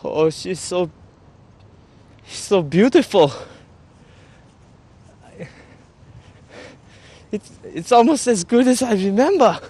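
A man in his twenties playing a nostalgic former rock band member in a mockumentary, talking haltingly outdoors. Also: high-pitched, big pitch swings, a little mellow, breathy edge, exaggerated voice. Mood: excited, sentimental. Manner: halting, tags phrases with "yeah?". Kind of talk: mockumentary interview, reminiscing. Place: outdoors on a campus lawn.

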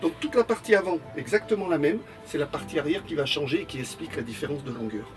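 A man with a fairly high voice explains a motorhome model in an interview, steady and matter-of-fact. He speaks inside the vehicle, and a faint music bed runs behind him. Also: fast talker. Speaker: manufacturer representative.

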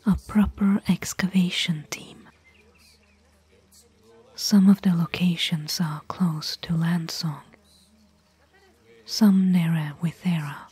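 A woman playing a gentle, bookish desert explorer and caring companion in a soft, whispered ASMR roleplay. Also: fairly low pitch, fairly even intonation, exaggerated voice. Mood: quietly earnest. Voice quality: breathy, whispered. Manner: slow, gentle, pausing between short phrases.

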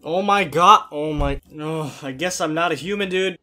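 A young man giving energetic, exasperated gameplay commentary as a gaming YouTuber, with loud outbursts. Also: on the high side, moderate pace, soft background music.

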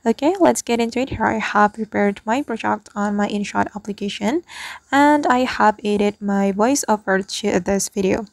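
A woman's voice: medium pitch, moderate pace, gravelly, no echo. A woman giving a scripted, step-by-step app tutorial as the host, recorded as a voice-over.

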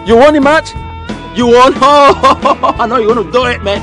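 A man's voice: very high voice, moderate pace, soft background music. A man with a Nigerian accent playing a boastful drinker who puts on a showy, slangy swagger in a comedy film. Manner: showy, animated slangy posturing. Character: boastful drinker putting on a returnee-from-abroad swagger, then pleading.

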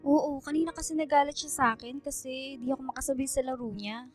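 A woman's voice: sits high, steady tone, quick pace, faint music behind, lightly acted. A woman playing a hurt young student girlfriend in a Filipino radio drama, plaintive, hesitant and pouty, yet questioning. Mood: frustrated.

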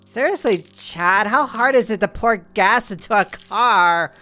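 A woman's voice: mid-range voice, expressive, unhurried, pushed and bright, soft background music. A woman playing a snippy, conceited, easily panicked young woman in an exaggerated slasher spoof cartoon. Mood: exasperated. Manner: exasperated, melodramatic. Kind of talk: exaggerated comedy cartoon acting.

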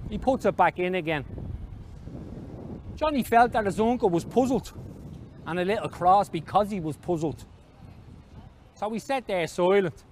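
A man's voice: high-pitched, slight background noise. A man in his forties with an Irish accent, reading literary narration expressively outdoors into a lapel mic. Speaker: story reader. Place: outdoors on stone steps in a city street.

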